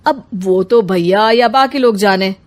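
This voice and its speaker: Woman playing a droll, slow-talking older father-in-law. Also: mid-range voice, natural voice. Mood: mischievous. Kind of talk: animated moral story character voice.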